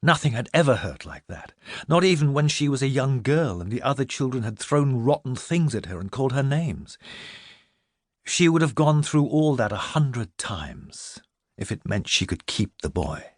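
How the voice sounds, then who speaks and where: Expressive, husky male audiobook narrator. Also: mid-range voice, moderate pace, no echo, clean studio sound. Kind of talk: dramatic scripted narration.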